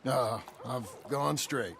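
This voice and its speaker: A man playing a gruff, plainspoken outlaw turned rancher in a video game, with a gravelly, laconic American Western drawl. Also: mid-range voice, slow, a bit bright. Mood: wary. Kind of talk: naturalistic video game acting. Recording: music under the voice.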